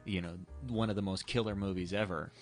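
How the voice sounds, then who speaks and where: A man's voice: sits low, fairly even intonation, a little fast, faint music behind. A man chatting casually about films as a podcast co-host, in a rambling way peppered with filler phrases. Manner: rambling, hedging with "you know". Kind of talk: casual film discussion chat.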